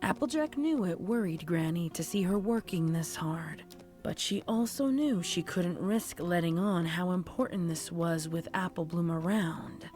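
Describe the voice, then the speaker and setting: A woman's voice: fairly low pitch, measured pace, a little mellow. Woman narrating a story over music. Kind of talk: scripted audiobook-style horror fan fiction narration.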